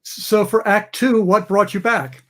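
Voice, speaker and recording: A man hosting an online music interview show, speaking haltingly with many fillers. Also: high voice, fairly even intonation, a bit bright. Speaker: host.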